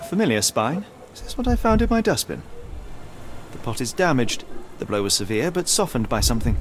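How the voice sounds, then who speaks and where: An actor playing a shrewd gentleman detective with formal, precise, probing speech in a scripted game. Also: mid-range voice, very animated, fairly quick, noisy background, natural voice. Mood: musing.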